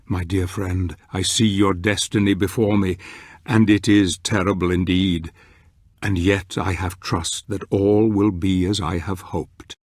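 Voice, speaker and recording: Dark fantasy game narrator: grave, measured, theatrical, noticeably husky, recorded dry. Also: male voice, sits low, fairly even intonation, no room sound. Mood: grave, foreboding. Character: grave older male narrator, brooding storyteller of a dark fantasy. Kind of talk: scripted dramatic narration.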